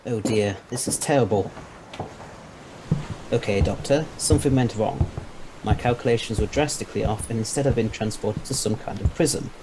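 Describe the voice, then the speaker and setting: A man's voice: lowish voice, normal speed, background noise, natural voice. A man playing an eccentric, confident scientist time traveller in an audio drama, speaking articulately with a mildly superior air. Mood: troubled.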